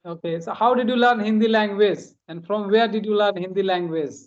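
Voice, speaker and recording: A man's voice: high voice, fairly quick, dull audio. A man from India chatting casually in as a practice conversation, hesitant and repeating phrases as he goes. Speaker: host.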